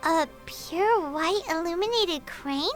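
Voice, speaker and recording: An actress playing a tiny, childlike female fairy companion in a video game, with a squeaky, chirpy, chatty delivery. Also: takes it slowly, faint music behind. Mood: curious, eager.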